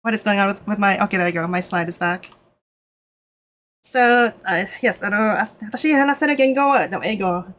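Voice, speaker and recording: A Canadian woman streaming in a nervous, casual way, with lots of fillers, switching between English and halting Japanese. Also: medium pitch, fairly bright tone. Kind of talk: casual live stream chat.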